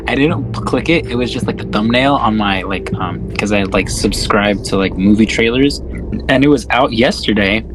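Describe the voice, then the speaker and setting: A man with a fairly low voice talks like a podcast host hanging out with a friend. His delivery is slangy, sweary and laid-back, with music clearly playing behind him. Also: normal speed, rough recording. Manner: slangy, profane, laid-back buddy talk. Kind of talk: casual podcast chat about horror films.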